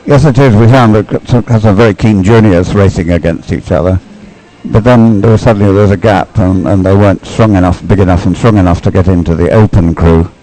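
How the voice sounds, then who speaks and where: A man's voice: sits low, a little fast, mellow, poor audio. A man giving unscripted live sports commentary in a hesitant, rambling, stop-start way.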